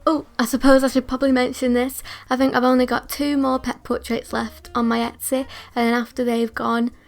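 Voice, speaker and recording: A young woman from England with a northern English accent, chatting casually about her art, a little croaky from just waking up and wearing retainers. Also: high-pitched, flat delivery, fairly quick, faint music behind. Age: young adult. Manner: chatty, self-deprecating, rambling asides. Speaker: art vlogger. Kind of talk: casual chatty voiceover and to-camera talk.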